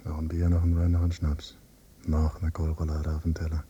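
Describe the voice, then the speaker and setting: A man's voice: completely flat delivery, brisk, warm tone, husky, highs cut off, full character voice. A man playing a brooding, bitter adult son visiting his parents in a German radio drama, insistent and given to listing things. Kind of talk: German radio drama, alternating dialogue and inner monologue. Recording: rumbly, noisy cassette copy of radio play.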